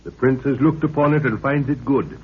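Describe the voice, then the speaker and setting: An actor playing a courteous, steady middle-aged expedition leader with formal, gallant speech, in 1930s radio drama style. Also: male voice, mid-range voice, brisk, slightly rough. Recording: muffled, noisy 1930s radio recording.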